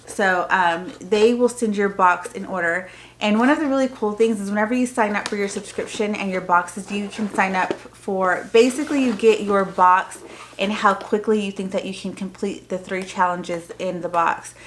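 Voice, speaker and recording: Casual woman vlogger, medium pitch. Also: moderate pace, a bit bright, a little hiss or hum. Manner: informal, explanatory. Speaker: homeschooling parent vlogger. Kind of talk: casual unboxing show-and-tell, reading printed items aloud.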